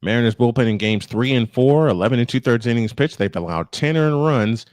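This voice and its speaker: A man's voice: medium pitch, expressive, a little fast, darkish tone, no room sound, studio quality. A man doing live baseball play-by-play, mixing commentary with casual banter as host.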